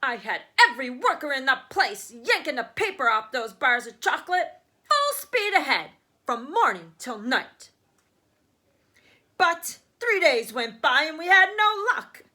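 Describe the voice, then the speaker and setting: A woman voicing a boastful, indulgent rich father in a children's storybook, talking in loud, emphatic, folksy brags. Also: high-pitched, sing-song, unhurried, heavily acted. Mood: aggrieved, determined.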